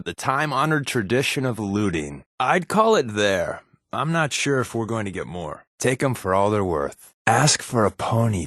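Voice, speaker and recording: A man's voice: medium pitch, a bit bright, no echo, clean studio sound. A man voicing a wisecracking, guarded undercover agent companion in a video game, with a wry, sarcastic, flippant delivery.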